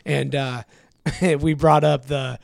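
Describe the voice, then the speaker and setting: A hoarse man co-hosting a podcast, chatting casually and eagerly with lots of fillers. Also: a little high, fairly even intonation, slow pace, darkish tone, no echo, clean studio sound. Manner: enthusiastic, many fillers. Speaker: podcast co-host. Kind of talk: casual chat.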